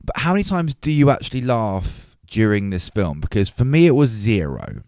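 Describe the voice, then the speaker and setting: A man around forty co-hosting a casual film discussion, opinionated and animated in his delivery. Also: lowish voice, moderate pace, dry recording, studio quality. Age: 30s or early 40s. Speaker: podcast co-host.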